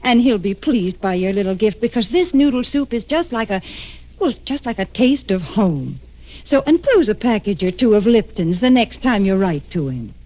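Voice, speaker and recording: An American woman announcer giving a chatty, emphatic sponsor pitch in 1940s radio style. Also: very animated, moderate pace, a little hiss or hum. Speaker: commercial announcer. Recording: dull, band-limited 1940s radio recording.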